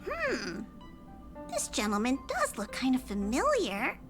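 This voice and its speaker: Very high, cute mascot conductor. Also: female voice, wildly swooping pitch, takes it slowly, heavily acted. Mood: curious, polite. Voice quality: childlike. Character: small cute mascot conductor, polite and businesslike. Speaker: train conductor. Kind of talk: video game voice acting. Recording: loud music behind.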